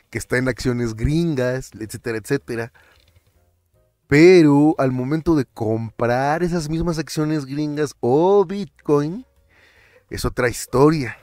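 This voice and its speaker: A young Mexican man with a Mexican accent, talking casually on his finance vlog, animated and often stumbling over and repeating words. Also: mid-range voice, dry recording, clean studio sound. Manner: animated, chatty, stumbles and repeats words, slips in English terms. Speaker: finance vlogger. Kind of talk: casual commentary over screen captures.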